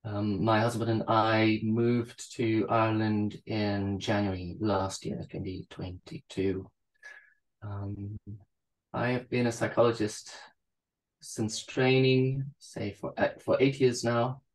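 South african guy on a video call, lowish voice, thoughtful, restarts a lot. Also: level tone, slow pace, low-quality recording. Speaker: psychologist guest. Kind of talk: interview over video call. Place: small room indoors. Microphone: video call webcam.

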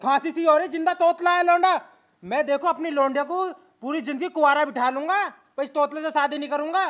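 Man acting high-voiced, blunt village father. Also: brisk, a bit bright. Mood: indignant. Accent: rural western Uttar Pradesh. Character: proud, blunt, indignant father of the bride.

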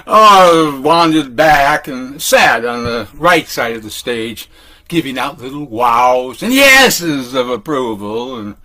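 Older man, bright-voiced, performing a theatrical monologue. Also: on the high side. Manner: animated, halting delivery with chuckles and drawn-out words. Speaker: solo performer. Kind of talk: theatrical monologue in a beat storyteller's voice.

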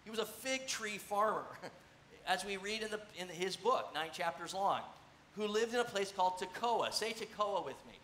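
Bright animated middle-aged preacher man. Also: sits high, moderate pace. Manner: animated, conversational, gesturing while seated on a stool. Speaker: pastor. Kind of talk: sermon. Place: church stage, large room. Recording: echoey church room, faint noise.

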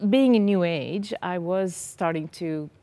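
A woman's voice: on the low side, measured pace, dark tone. Earnest woman, hesitant speech. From Israel. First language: Hebrew. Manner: earnest, hesitant, many ums. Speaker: guest. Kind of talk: personal testimony in a TV interview. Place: TV studio set. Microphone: lapel.

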